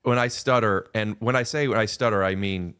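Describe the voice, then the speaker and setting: Guy with a lowish voice, chatty podcast guest, keeps restarting his sentences. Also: fairly even intonation, a little fast, fairly bright tone, clean tone. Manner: rambling, restarts and repeats words. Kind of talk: casual podcast chat.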